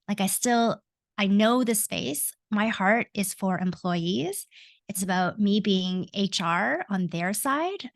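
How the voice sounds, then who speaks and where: A woman's voice: medium pitch, no room sound. Earnest Canadian woman, dry close sound. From Hong Kong, raised in Canada. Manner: earnest, reflective, with frequent ums and self-corrections. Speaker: guest, coach. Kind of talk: podcast interview.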